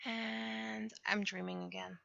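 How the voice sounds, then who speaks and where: A woman livestreamer chatting casually and haltingly, muttering to herself as she thinks aloud, on dull lo-fi audio. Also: medium pitch, flat delivery, vocal fry, sharp tone. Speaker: livestreamer, webcomic artist.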